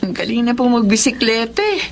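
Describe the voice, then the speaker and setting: A devoted, respectful Filipino nanny in a TV melodrama. She has a fairly high voice and a polite, deferential way of speaking. There is faint noise in the recording. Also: big pitch swings, a little fast, gravelly. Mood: humble, polite. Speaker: actor in a drama.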